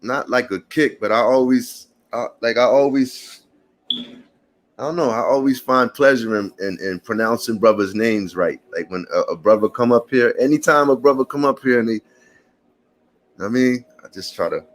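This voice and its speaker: A man's voice: mid-range voice, moderate pace. Chill new guy talking to his vlog, calls everyone brother, easygoing slang. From Puerto Rican family. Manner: laid-back, slangy, calls people brother and man. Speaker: vlogger. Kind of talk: casual morning vlog chat.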